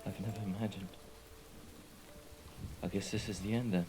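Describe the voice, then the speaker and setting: A man's voice: lowish voice, steady tone, moderate pace, music in the background, lightly acted. A man playing a loyal, deferential mafia henchman in a scripted ASMR roleplay drama, earnest and submissive toward a superior. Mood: resigned, devoted.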